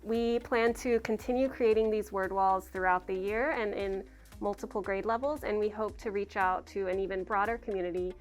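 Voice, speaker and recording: A woman teacher talks quickly and eagerly about her classroom work, her pitch sitting in a middle register. Soft music plays faintly underneath. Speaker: elementary school teacher. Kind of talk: documentary interview.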